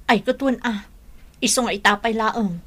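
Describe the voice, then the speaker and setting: A woman's voice: on the high side, moderate pace, a bit bright. An actress playing a poor, worried and resigned neighbour woman in an Ilocano radio drama. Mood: resigned worry.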